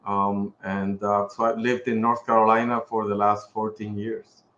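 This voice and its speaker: A man's voice: on the low side, flat delivery, normal speed, a bit bright. Male podcast guest, middle-aged, Puerto Rican accent, conversational, slightly muffled recording in an echoey room. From Puerto Rico. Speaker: podcast guest, pharmaceutical executive. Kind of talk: casual interview conversation. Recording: roomy echo, slightly dull sound.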